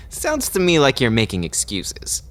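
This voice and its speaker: An actor playing an easygoing lieutenant in an audio drama, a loyal friend with a wry, casual, needling manner. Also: male voice, a little high, wildly swooping pitch, slow pace, fairly bright tone, slight background noise, exaggerated voice. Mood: playful.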